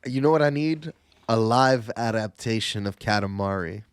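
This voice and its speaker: A man's voice: fairly low pitch, moderate pace, clean tone, dry recording. A man co-hosting a podcast, speaking in casual, everyday conversation. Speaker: podcast co-host. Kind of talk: casual group podcast chat.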